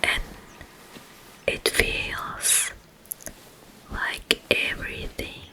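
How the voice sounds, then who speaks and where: Woman as a slow, whispering sleep paralysis demon. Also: low-quality recording. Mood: mock-sympathetic. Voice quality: breathy unvoiced whisper. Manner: slow, halting, drawn-out short phrases. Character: sleep paralysis demon, soothing yet menacing night visitor. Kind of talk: ASMR roleplay.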